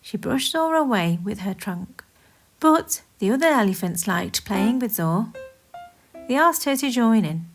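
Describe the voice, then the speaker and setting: Animated, unhurried woman storyteller. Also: fairly high pitch, faint music behind. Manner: deliberate, animated read-aloud for young children. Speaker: children's storyteller. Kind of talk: expressive scripted story narration.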